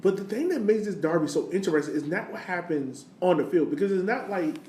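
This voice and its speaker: Guy with a slightly high voice, casual sports podcast host, echoey room, faint noise. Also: expressive, fast talker, darkish tone.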